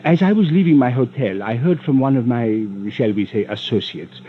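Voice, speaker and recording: An actor playing a suave, smugly menacing rich villain in a 1950s radio drama, speaking with formal, oily politeness. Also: male voice, medium pitch, lively intonation, normal speed, husky. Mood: mock regret. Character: suave, smugly menacing villain, wealthy shady yacht owner. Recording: tinny, noisy vintage radio recording.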